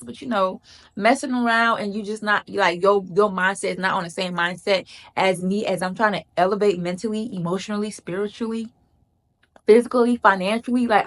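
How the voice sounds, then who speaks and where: A woman's voice: fairly high pitch, a bit bright. A woman gives casual advice to camera. She talks in an emphatic, chatty way, repeats lines for emphasis and calls viewers "girl.". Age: 30s. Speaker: vlogger.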